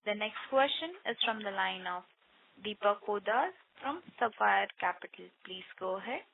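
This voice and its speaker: Creaky female operator on a phone line. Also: mid-range voice, expressive, moderate pace. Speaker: conference call operator. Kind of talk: scripted call announcement. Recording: quiet narrow phone line.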